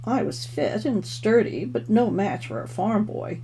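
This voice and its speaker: A woman's voice: mid-range voice, sing-song, a little slow, dark tone. A woman author reading her own first-person novel expressively, as a storyteller. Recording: clearly noisy home recording.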